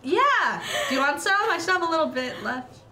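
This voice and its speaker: A woman's voice: sits high, sing-song, brisk, bright, forceful tone, echoey room, slight background noise. A woman in her twenties playing a tabletop roleplaying game, improvising playfully in character. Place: indoor studio set, seated at a table.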